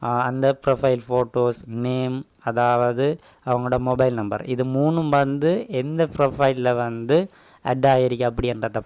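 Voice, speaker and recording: Tamil man, smooth dry tutorial voice. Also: medium pitch, darkish tone, no echo. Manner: repetitive filler "vandhu", explains step by step. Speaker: tech channel host. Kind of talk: casual tutorial voice-over.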